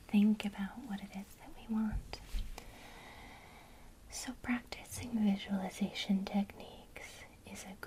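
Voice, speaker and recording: A woman whispering her way through a gentle lesson on witchcraft, keeping an encouraging tone. Her whisper has a mellow, dark warmth. A faint hiss of noise sits under it. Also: medium pitch, poor audio. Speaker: witchcraft teacher.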